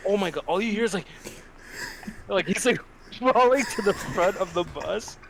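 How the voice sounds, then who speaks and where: A man's voice: high-pitched, normal speed, creaky, background noise. Guy cohosting a podcast, casual slangy banter, swears a lot, likes telling stories. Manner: laughing, animated storytelling, heavy slang and swearing. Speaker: podcast co-host.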